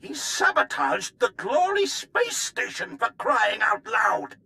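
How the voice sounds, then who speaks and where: An actor playing an unhinged, incoherent old military officer in a video game, ranting with paranoia and erratic bursts of shouting. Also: male voice, high-pitched, wildly swooping pitch, takes it slowly, full character voice. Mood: accusing, outraged. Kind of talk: theatrical video game voice acting.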